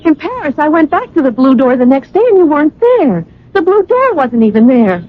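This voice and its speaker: A woman's voice: sits high, big pitch swings, fairly quick. An actress playing a lovesick, dreamy young woman in a radio drama, breathless and pleading. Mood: distressed, insistent. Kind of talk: radio drama acting with narration. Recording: tinny old radio recording with music.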